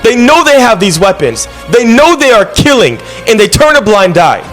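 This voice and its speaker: A man's voice: high voice, sing-song, moderate pace, fairly bright tone, faint music behind. A man recording an impassioned video statement, urgent and accusatory.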